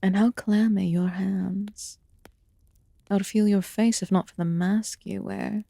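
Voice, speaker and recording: Woman acting as a soft, slow, ancient fae recluse. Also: medium pitch, steady tone, no room sound. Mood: curious, detached. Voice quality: soft, breathy murmur with whispered phrases. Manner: slow, deliberate, archaic formal wording. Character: ancient aloof female fae recluse, haughty yet quietly caring. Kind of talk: ASMR fantasy roleplay.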